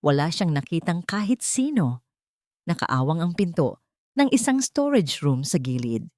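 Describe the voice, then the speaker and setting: Low-voiced Filipino woman narrating, very dry sound. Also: big pitch swings, measured pace, darkish tone, no room sound. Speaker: audiobook narrator. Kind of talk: dramatic scripted story narration.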